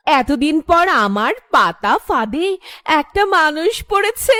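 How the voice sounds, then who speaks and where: Cackling, shrill evil ghost. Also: female voice, big pitch swings, very slow, pushed and bright, no echo, clean studio sound, full character voice. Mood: gloating. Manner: cackling, gloating. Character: gloating evil female ghost who traps humans.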